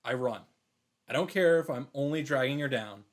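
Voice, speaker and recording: A man reading a visual novel aloud as a streamer, in an emphatic, dramatic storytelling style with drawn-out pauses. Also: medium pitch. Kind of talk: dramatic narrated read-aloud.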